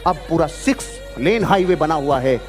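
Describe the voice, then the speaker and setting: A man's voice: on the high side, lively intonation, moderate pace. Emphatic man motivating over music. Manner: emphatic, declamatory, repeats phrases. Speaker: sales motivational speaker. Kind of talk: motivational speech. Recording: music behind the voice.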